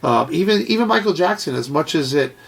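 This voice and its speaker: A man's voice: a little high, lively intonation, a little fast, gravelly. Congested man in salty podcast chat. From Texas. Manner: rambling, salty, with many fillers and restarts. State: congested from a sinus infection. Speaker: podcast co-host. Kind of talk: casual chat.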